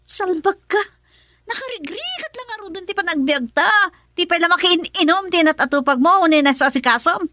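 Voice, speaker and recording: Woman as Ilocano radio-drama wife: high, bright, nagging, dry close mic. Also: lively intonation, brisk, no room sound, exaggerated voice. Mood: scolding. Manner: sharp, scolding. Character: frustrated young wife scolding her drinking husband. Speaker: young wife.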